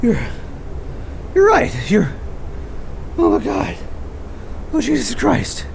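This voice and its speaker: An actress playing a detective who panics, swears and stammers, her voice gravelly and shaken. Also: on the high side, huge pitch swings, takes it slowly, darkish tone, slight background noise, full character voice. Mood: rattled. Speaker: detective Carrigan.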